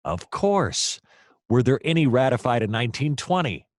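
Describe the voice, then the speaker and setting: An actor with an American accent playing a hapless, wry male private detective in a noir audio play, deadpan and sometimes flustered. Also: mid-range voice, normal speed, no room sound, studio quality, natural voice. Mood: exasperated, sardonic. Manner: wry, deadpan narration, hesitant and flustered in dialogue.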